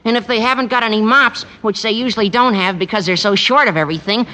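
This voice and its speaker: Squeaky, bright eager teenage boy. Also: fast. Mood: gabby, excited. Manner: earnest, gushing, slangy. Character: eager, gabby, naive teenage schoolboy. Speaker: actor in a radio sitcom. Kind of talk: 1940s radio comedy acting.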